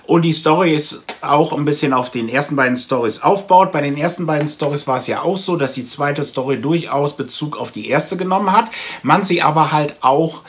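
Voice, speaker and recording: The voice is a man, pitched slightly above average. He speaks as the host of a casual vlog, chatting to the camera in a conversational, explanatory way. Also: fairly quick, a bit bright. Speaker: comic blog video host. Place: small indoor room with shelves of comics.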